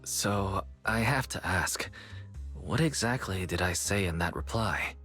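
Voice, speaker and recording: A man voicing an easygoing, curious young man in a video game cutscene, relaxed and low-key. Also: unhurried, faint music behind, heavily acted.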